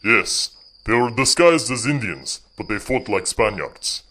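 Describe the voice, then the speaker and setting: Obedient male team marksman man acting for audio drama. Eager, literal, apologetic, very lively intonation. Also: lowish voice, unhurried, heavily acted. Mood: reporting.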